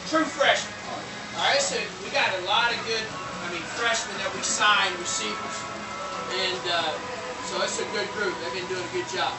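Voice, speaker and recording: A man's voice: high-pitched, moderate pace. A man coaching football, casually introducing his players to a crowd with an upbeat, loose manner. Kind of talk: informal team introductions to a crowd. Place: outdoor sports event. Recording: echoey, distant mic with loud music.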